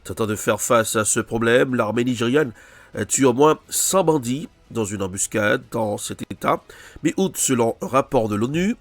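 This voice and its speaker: A man's voice: mid-range voice, lively intonation, moderate pace, no echo, soft background music. A man presenting a news bulletin, introducing reports with some hesitations and self-corrections. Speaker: news anchor.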